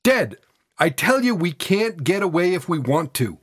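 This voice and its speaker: A man voicing a frail eighteen-year-old tramp boy, cocky, weary and defiant, with husky, breathless, colloquial working-class speech. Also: on the high side, lively intonation, moderate pace, no room sound, studio quality. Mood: intense, insistent.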